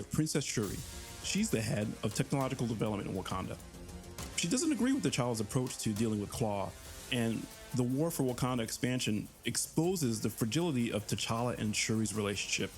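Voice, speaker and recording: A man presenting a game in a promotional showcase as one of its developers. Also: mid-range voice. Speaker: game developer on the narrative team.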